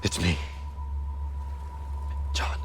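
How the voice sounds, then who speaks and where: An actor playing an anguished, remorseful man in a cinematic video game, with a raw, halting, emotional delivery. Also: background noise.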